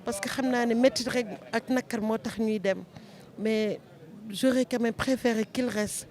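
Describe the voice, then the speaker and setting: A woman's voice: fairly high pitch, fairly even intonation, a little slow, darkish tone. A woman with a Senegalese French accent, interviewed outdoors, speaking in a sorrowful, reflective way. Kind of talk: street interview.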